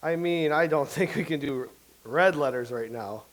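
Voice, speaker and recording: A man's voice: fairly high pitch, moderate pace, natural voice. A man reading the part of a wry, quizzical playwright. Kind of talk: staged reading.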